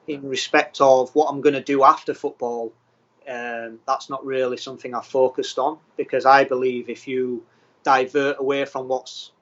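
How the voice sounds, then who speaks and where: A man from England, a professional footballer, answering interview questions in a plain, steady way with frequent ums. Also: mid-range voice, muffled recording. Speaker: footballer guest.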